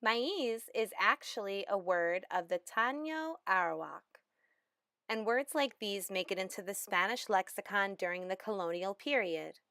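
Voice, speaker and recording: A woman giving a measured, explanatory lecture narration as a language instructor. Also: mid-range voice, expressive, sharp tone, no room sound, clean studio sound.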